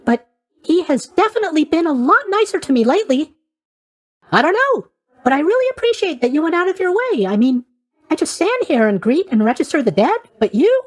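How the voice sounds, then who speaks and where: A man voicing a chatty, eager-to-please young god of sleep in a video game, rambling along with bubbly asides. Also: very high voice. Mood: grateful. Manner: rambling, bubbly, hesitant asides, tag questions. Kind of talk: video game character voice acting.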